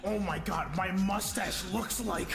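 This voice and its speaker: A man's voice: sits high, measured pace, gravelly. A man voicing a silly, blustering mad scientist villain in a comic game fandub, with echo and game music.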